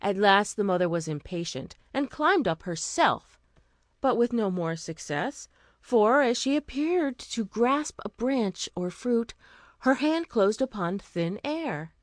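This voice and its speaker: A woman narrating a scripted fairy tale as a storyteller, expressive and deliberate. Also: mid-range voice, no room sound, studio quality.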